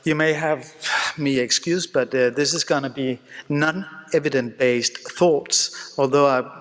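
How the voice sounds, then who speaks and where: A man from Denmark with a Danish accent gives an informal conference lecture as a surgeon, speaking English as a second language with halting phrasing. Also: mid-range voice, steady tone, a bit bright. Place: conference hall, live talk. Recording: reverberant hall sound.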